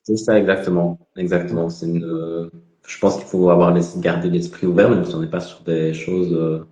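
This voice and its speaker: A man's voice: sits low, level tone, moderate pace, warm tone. A man with a Belgian French accent, a guest on a live video talk, speaking with many hesitations and fillers. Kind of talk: casual live interview. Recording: echoey, dull audio.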